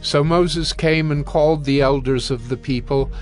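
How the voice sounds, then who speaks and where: Male scripture narrator over soft music. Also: a little high, fairly even intonation, normal speed.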